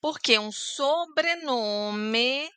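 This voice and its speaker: A Brazilian woman teaching Portuguese in a chatty, patient way, explaining words slowly and stopping to check facts. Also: high voice, expressive, pushed and bright, clean tone, no echo. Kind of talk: casual language lesson to camera.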